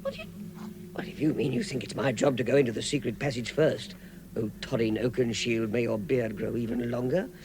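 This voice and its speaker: An actor playing a fussy, nervous but plucky middle-aged hobbit in a vintage radio drama, polite and easily flustered. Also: male voice, mid-range voice, a little fast, natural voice. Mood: indignant, resolute. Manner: flustered, indignant, polite, hesitant. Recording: faintly noisy old radio recording.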